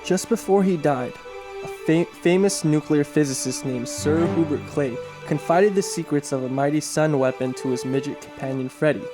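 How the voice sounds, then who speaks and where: A man's voice: on the high side, normal speed, over music, natural voice. A man playing a dramatic, declamatory narrator of a superhero serial, in scripted old-time radio style. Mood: dramatic, urgent.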